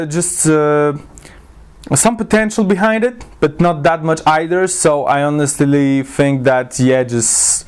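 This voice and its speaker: A man giving a casual, unscripted review as a video host, informal and blunt, often hesitating and correcting himself. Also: mid-range voice. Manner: hesitant, rambling delivery with frequent self-corrections.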